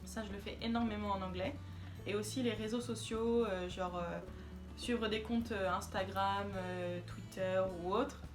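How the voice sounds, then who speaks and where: Woman vlogging, chatty, lots of hesitant fillers, mid pitch, music playing behind. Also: fairly even intonation, measured pace. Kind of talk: casual talk to camera.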